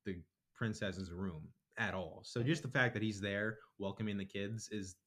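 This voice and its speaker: A man speaks in a somewhat low voice as a podcast co-host. His talk is informal and slangy. He banters and gives opinions as if chatting with a friend about a show. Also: fairly even intonation, moderate pace, fairly bright tone. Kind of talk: casual chat reviewing a TV episode.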